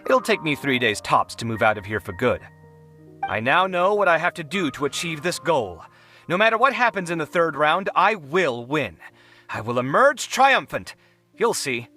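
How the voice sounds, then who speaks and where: An actor playing a proud, easily offended young scholar in an anime game, theatrical and exasperated in manner. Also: male voice, fairly high pitch, moderate pace, faint music behind. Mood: boastful, determined. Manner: theatrical, indignant, expressive. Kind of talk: anime video game voice acting.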